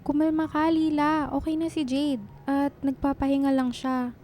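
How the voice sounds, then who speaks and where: A woman's voice: sits high, completely flat delivery, moderate pace, mellow, a little hiss or hum, natural voice. A woman playing a teasing, caring young best friend in a radio drama. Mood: concerned.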